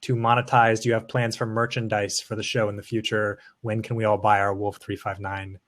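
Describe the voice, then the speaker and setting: A man's voice: lowish voice, little pitch movement, fairly quick, fairly bright tone. A man hosting a podcast interview, putting audience questions to guests in a casual way. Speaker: podcast host.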